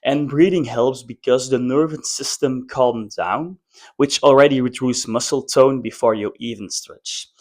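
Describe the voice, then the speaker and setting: A man's voice: medium pitch, a little slow, a little mellow. A man who is a non-native English speaker, presenting an explainer in short, emphatic phrases. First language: not English. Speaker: presenter, former athlete. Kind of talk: semi-scripted explainer to camera.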